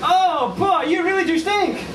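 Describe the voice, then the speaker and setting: A man's voice: extremely high pitch, a little slow, roomy sound, recorded from a distance, rough recording. A man voicing a blunt, teasing puppet pal in comic puppet show banter.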